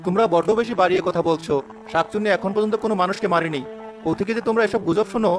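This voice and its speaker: Casting: male actor for determined rural fisherman in animated folk tale, raised pitch, quick delivery. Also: music in the background, lightly acted. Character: village fisherman, practical, determined family man.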